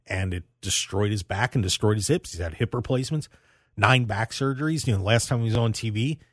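An American man hosting a sports talk radio show, telling stories in an animated way with emphatic repetition. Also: on the low side, brisk, no echo, studio quality.

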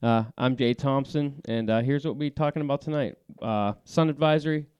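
A man's voice: mid-range voice, normal speed, darkish tone, clean tone, no echo, dull audio. A man hosting a casual fly fishing podcast from a small studio, laid-back with lots of filler words and a voice that carries. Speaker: podcast host.

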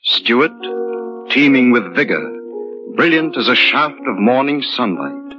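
A man's voice: medium pitch, very animated. A man playing a solemn, mysterious narrator of eerie tales in grave, theatrical 1940s radio style. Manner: grave, slow, theatrical, poetic. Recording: muffled 1940s radio broadcast. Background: loud dramatic music.

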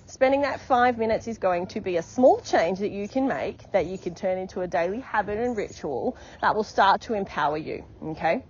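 A woman's voice: medium pitch, lively intonation, moderate pace, hardly any pauses, dull audio, a little hiss or hum. A woman life coach giving an encouraging, repetitive motivational podcast talk, often checking in with "okay?".

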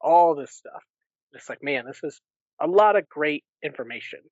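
A man's voice: on the high side, expressive, normal speed, dry recording, lo-fi sound. A man co-hosting a podcast, talking casually through a recap of books. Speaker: podcast co-host. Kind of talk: casual book recap discussion.

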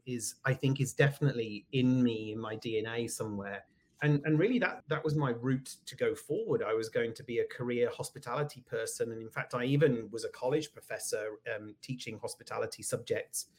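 A man in his forties with a British accent, chatting as a guest in a relaxed interview. He talks in a reflective, self-deprecating way, pausing on ums. His voice sits at an average pitch. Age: 40s. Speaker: guest, executive coach. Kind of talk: casual interview conversation.

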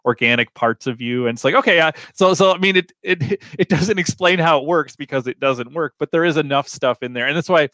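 A man's voice: fairly high pitch, fairly quick, few pauses, a bit bright, no echo. A man hosting a casual film discussion, talking informally and loosely, rambling his way into questions and points. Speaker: discussion host.

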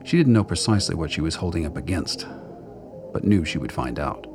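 A man narrating a scripted radio drama in a deliberate, noir storytelling manner. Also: extremely low pitch, lively intonation, music in the background.

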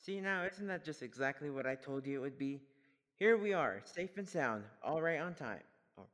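A man's voice: fairly high pitch, expressive, moderate pace, fairly bright tone, no room sound. A young man playing a blustering, folksy, good-hearted rocket pilot in a classic radio serial. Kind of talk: classic radio drama acting.

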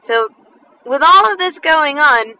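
Bright-voiced woman with a slight lisp. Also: sits high, lively intonation, takes it slowly. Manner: slightly slurred, lisping enunciation from a numb mouth. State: half the mouth numb after dental work. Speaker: podcast host. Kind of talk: casual personal monologue for a podcast. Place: inside a car while driving. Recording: distant mic, faint vehicle noise. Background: faint car driving noise.

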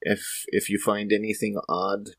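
A man's voice: fairly low pitch, little pitch movement, moderate pace, fairly bright tone, no room sound. A performer playing a polite, reserved female doctor in a sci-fi tabletop roleplay, with formal, hesitant wording. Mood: polite, earnest. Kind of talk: improvised in-character tabletop roleplay.

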